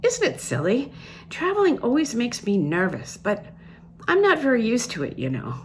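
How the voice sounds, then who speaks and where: A mercurial young woman from a novel, voiced by a woman reader, sounds restless and a little self-conscious. Her pitch rises and falls very freely, over faint noise. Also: huge pitch swings, moderate pace. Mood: sheepish.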